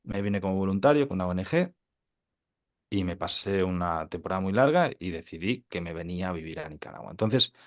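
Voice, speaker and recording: Low-voiced man Catalonia, casual. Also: expressive. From Catalonia, Spain. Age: 30s. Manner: informal, halting with repeated words and tag questions. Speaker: podcast guest, digital entrepreneur. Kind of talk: casual interview storytelling.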